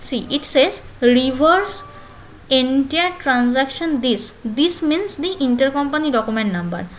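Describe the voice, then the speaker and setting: High-pitched woman, halting tutorial delivery. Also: expressive, a little hiss or hum, low-quality recording. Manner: halting, hesitant phrasing with frequent filler pauses; repeats phrases while explaining step by step. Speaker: software tutorial instructor. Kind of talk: spoken screen-share tutorial.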